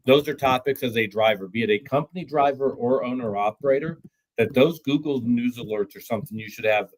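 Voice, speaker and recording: Mid-pitched male guest, matter-of-fact and explanatory, occasional stammering restarts. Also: a little fast, low-quality recording. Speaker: trucking recruiting executive, guest. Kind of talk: podcast discussion.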